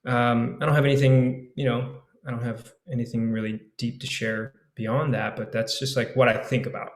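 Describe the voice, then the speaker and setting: A man's voice: mid-range voice, level tone, normal speed. Musing man in an echoey room. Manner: hesitant, musing, modest. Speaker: panelist. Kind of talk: unscripted personal reflection. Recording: roomy, echoing video call.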